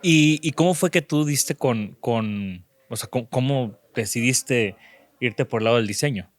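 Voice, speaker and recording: A man's voice: medium pitch, a little slow, no room sound. A man with a Mexican accent hosting a design interview podcast, casual and slangy, often giving short agreements. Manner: casual, slangy, frequent backchannel. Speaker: podcast host.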